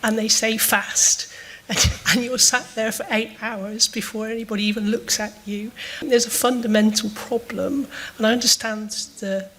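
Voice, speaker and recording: A woman, asking a panel a halting, hesitant question from the audience. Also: a little high, fairly even intonation, a bit bright, poor audio. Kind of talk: spontaneous question at a panel discussion.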